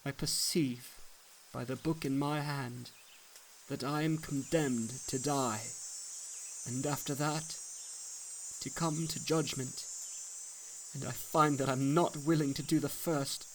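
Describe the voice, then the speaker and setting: A man's voice: mid-range voice, fairly even intonation, takes it slowly, long pauses, a little hiss or hum, voice pushed up. A man voicing a distressed, burdened man in rags who pleads and despairs in archaic language, in a dramatic story reading. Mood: distressed, pleading. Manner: anguished, pleading, archaic diction.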